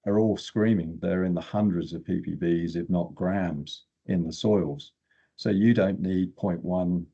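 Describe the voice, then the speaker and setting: A man's voice: deep voice, mellow, husky. An older man giving opinionated, explanatory technical talk as an interview guest. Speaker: guest geochemist. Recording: dull, lo-fi sound.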